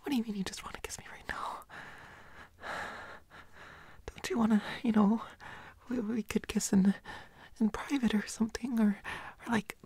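A woman playing a nervous, pouty teenage schoolboy in a whispered audio roleplay, breathy, hesitant and pleading. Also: fairly high pitch, steady tone, moderate pace, pauses often, darkish tone, no echo.

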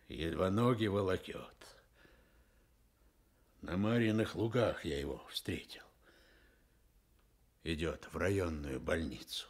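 A man playing a weary, kindly ageing timber station chief in a radio drama, gravelly, husky, folksy and rueful. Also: sits low, heavily acted. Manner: folksy, mildly rueful, repeating himself. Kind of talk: Soviet radio drama acting.

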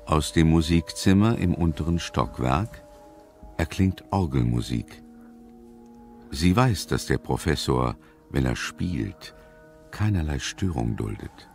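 Man, suspense narration, gravelly, slow, expressive pitch swings. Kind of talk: suspenseful scripted radio play narration. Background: music.

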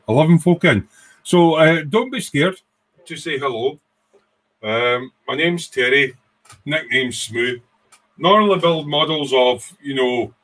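Man in his sixties, Scottish accent, mid pitch, casual livestream host, rambling, informal. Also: fairly bright tone, low-quality recording. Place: small home workshop room.

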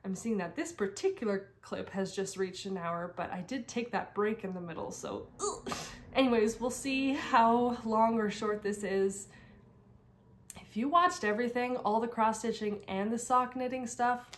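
A woman's voice: fairly high pitch, moderate pace. Young canadian woman with a cold, voice going, laughs a lot and rambles on. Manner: rambling, laughs often, many restarts. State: recovering from a cold, losing her voice. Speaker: crafting vlogger. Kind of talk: casual chat to camera.

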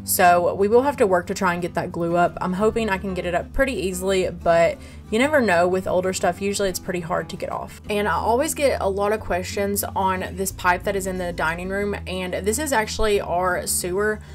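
A woman's voice: mid-range voice, brisk, soft background music. A woman vlogger giving a casual walkthrough of her farmhouse renovation, explaining her plans step by step, filmed on a handheld phone in an empty room.

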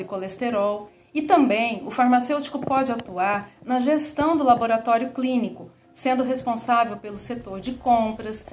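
A woman giving a clear, informative explanation to camera. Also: a little high, a little mellow, a little hiss or hum. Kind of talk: explanatory statement.

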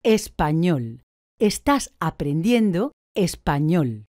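A woman answers drill questions very slowly, with a mellow, lowish voice and lively rises and falls in pitch. Every word is carefully articulated. The recording is close and dry. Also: dry recording. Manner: slow, deliberate, clearly articulated answers. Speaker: language course speaker.

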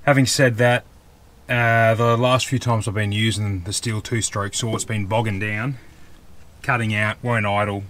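A man's voice: on the low side, fairly bright tone, slight background noise. A man in his thirties with an Australian accent, chatting bluntly and casually about gear to a camera he holds at arm's length outdoors in the bush. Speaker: camping vlogger. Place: outdoors in open bushland, and in the back of a truck canopy. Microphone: camera held at arm's length.

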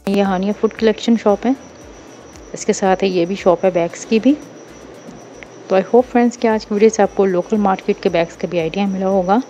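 A woman's voice: medium pitch, fairly even intonation, moderate pace, warm tone, soft background music, rough recording. Chatty woman touring a bazaar. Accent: Pakistani Urdu. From Pakistan. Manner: chatty, informal shop tips. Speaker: shopping vlogger. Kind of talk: casual market walkthrough vlog. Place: busy indoor bazaar.

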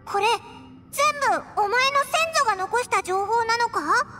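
A woman voicing a small, childlike fairy companion in an anime game, curious and excitable, with blunt wide-eyed questions. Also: extremely high pitch, moderate pace. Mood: puzzled, questioning. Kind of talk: Japanese anime game voice acting. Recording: music under the voice.

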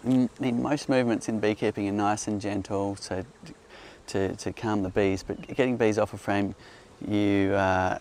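A man calmly explaining beekeeping outdoors among hives, speaking in a gentle, explanatory way while hosting a live Q&A. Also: lowish voice, creaky, slight background noise. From Australia. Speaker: beekeeper host. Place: outdoors on a hillside among beehives. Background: faint outdoor ambience and buzzing bees.

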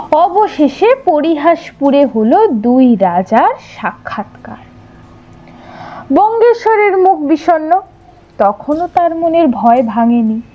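A woman narrating a scripted historical audio story in a dramatic, expressive and measured way. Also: sits high, lo-fi sound. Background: music behind the narration.

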